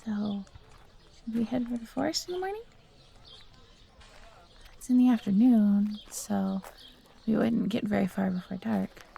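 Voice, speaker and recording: A woman playing a friendly, eager young female fox companion in an audio roleplay, chatty, upbeat and expressive. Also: medium pitch, measured pace, creaky, pauses often, breathy edge, exaggerated voice. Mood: practical. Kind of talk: audio roleplay acting addressed to the listener.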